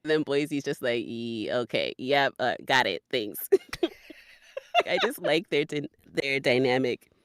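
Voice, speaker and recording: A woman's voice: rumbling low, very animated, unhurried, clear, resonant tone, no room sound, clean studio sound. A woman in a casual chat as a voice actor guest, giving wry answers.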